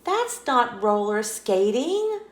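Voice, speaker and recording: A woman's voice: a little high, big pitch swings, takes it slowly, roomy sound, voice pushed up. A woman voicing a small, lonely but hopeful storybook squirrel in a childlike, plaintive way. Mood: doubtful.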